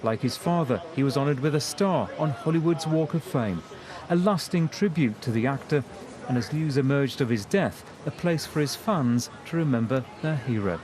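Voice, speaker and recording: A man's voice: mid-range voice, lively intonation, moderate pace, background noise. A man narrating a scripted news report as a correspondent. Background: music.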